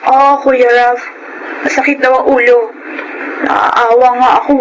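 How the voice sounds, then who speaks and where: An actress playing an outspoken, caring young sister in a Filipino radio drama, with a nagging but sympathetic manner. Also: female voice, high voice, level tone, measured pace, noisy background. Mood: scolding, sympathetic.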